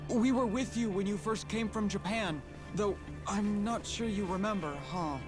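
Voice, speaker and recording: A man's voice: high voice, normal speed, music in the background, close to an everyday voice. An actor playing a cheerful, loyal yakuza underling in a video game, speaking earnestly and deferentially to his boss. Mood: eager, loyal. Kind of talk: dramatic video game voice acting.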